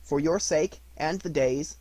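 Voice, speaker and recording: A man voicing an indignant, sharp-tongued poor mother in a raised, husky voice. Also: a little high, a little slow, dry recording, pitched above the performer's own voice. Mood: scornful. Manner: bitter, scornful.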